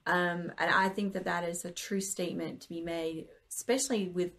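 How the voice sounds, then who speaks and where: A woman's voice: on the low side, steady tone, normal speed, fairly bright tone. A woman hosting a podcast, talking casually in an earnest, encouraging way. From Southern United States. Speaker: podcast host. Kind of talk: casual conversational interview.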